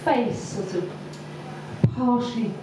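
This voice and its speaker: A woman's voice: fairly high pitch, background noise. Hesitant woman telling stories in an echoey room. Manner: hesitant, lots of ums, slow deliberate delivery. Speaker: stand-up comedian. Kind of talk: stand-up storytelling. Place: comedy venue with audience laughter. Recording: echoey far-off live room recording.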